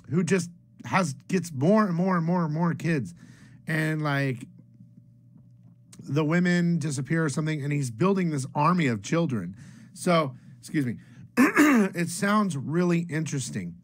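A middle-aged man hosting a comic book video from a small room at home, talking casually and upbeat with loose restarts. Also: on the high side. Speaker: comic book channel host.

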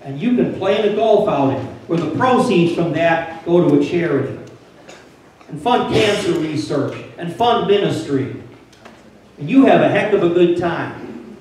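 A man's voice: fairly high pitch, lively intonation, a little slow, a little mellow, slight background noise. An older man preaching a sermon from the front of a church on a handheld mic, plainspoken and conversational, rising to urgent emphasis. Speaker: pastor. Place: large church sanctuary. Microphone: handheld mic, filmed from a distant camera. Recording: very echoey church from far off.

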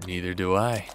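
A man's voice: fairly low pitch, a little hiss or hum. Sly, mocking demon, acted by a man. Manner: mocking, teasing, casual. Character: bored, taunting male demon, sly and foul-mouthed. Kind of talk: fantasy audio roleplay acting.

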